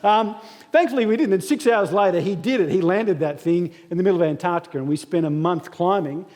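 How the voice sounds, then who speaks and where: A man's voice: high voice, brisk, a little mellow. A man in his thirties giving a funny, self-deprecating motivational speech at a graduation ceremony. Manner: humorous self-deprecating storytelling. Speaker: guest speaker. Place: large hall at a graduation ceremony. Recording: echoey hall reverb.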